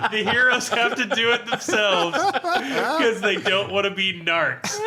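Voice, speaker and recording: A man reviewing a role-playing book casually among friends in an animated, amused, sweary and sardonic way. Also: sits high. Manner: animated, amused, often laughing while talking; profane, sardonic.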